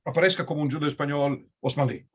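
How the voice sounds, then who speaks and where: A man's voice: on the high side, fairly even intonation, fast talker, breathy edge. Hesitant male video-call host. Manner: hesitant, many fillers and restarts. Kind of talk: conversational interview in Ladino.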